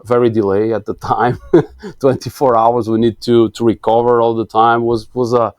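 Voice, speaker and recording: A man's voice: medium pitch, flat delivery, moderate pace, darkish tone, no room sound, clean studio sound. A man in his thirties from Portugal with a Portuguese accent, a guest engineer in a casual interview, hesitant with many fillers and restarts. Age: 30s.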